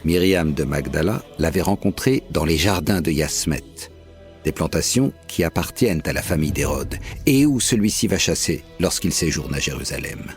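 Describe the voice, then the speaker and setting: An actor playing a proud, methodical Roman prefect narrating his investigation in measured, literary French. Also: a bass voice, animated, soft background music. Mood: measured recounting. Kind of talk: scripted first-person drama narration.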